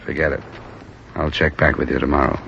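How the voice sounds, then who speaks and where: An actor playing a decent, matter-of-fact insurance investigator in a 1950s radio drama, with a dry, level delivery. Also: male voice, rumbling low, little pitch movement, brisk, creaky, a bit bright, a little hiss or hum, exaggerated voice. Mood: businesslike. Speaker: lead and narrator. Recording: muffled old radio recording with music. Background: music score.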